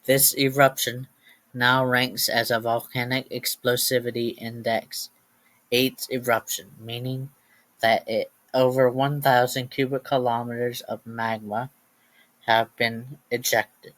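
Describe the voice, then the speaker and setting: A man playing a grave US President, reading a scripted emergency address in a formal, deliberate and slightly halting way. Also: mid-range voice, flat delivery, a bit bright, natural voice, poor audio. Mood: grave, resolute.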